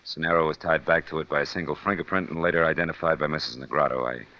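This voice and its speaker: An actor playing a shrewd, wisecracking American private detective in mid-century radio noir style, with a wry, clipped, hard-boiled manner. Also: male voice, a bass voice, steady tone, fast talker. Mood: wry, guarded. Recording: dull old radio recording, faint music.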